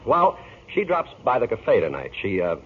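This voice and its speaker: An actor playing a shifty, wisecracking cafe owner, stammering and evasive, in 1940s radio noir style. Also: male voice, mid-range voice, wildly swooping pitch, normal speed. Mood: nervous, defensive. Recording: tinny, noisy old radio broadcast.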